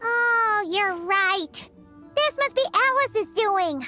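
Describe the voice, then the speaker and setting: Tiny excitable game fairy girl, super high squeaky voice, really bright and bouncy. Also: takes it slowly, soft background music, exaggerated voice. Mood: knowing. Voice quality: squeaky, childlike. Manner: exclamatory, refers to herself in third person. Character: tiny floating fairy companion, childlike, chirpy, excitable girl. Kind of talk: video game character acting.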